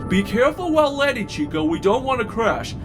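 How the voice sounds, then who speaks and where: An actor playing a careful, patient space traveler in a cartoon, measured and gently chiding. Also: mid-range voice, very animated, loud music behind the voice, lightly acted.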